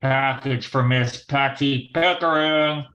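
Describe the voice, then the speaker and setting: Scheming small-time gambler, 1940s radio play, wisecracking, bright forceful delivery, male actor. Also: medium pitch, steady tone, slow pace, lo-fi sound. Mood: menacing. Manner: wisecracking, slangy. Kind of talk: 1940s radio detective acting.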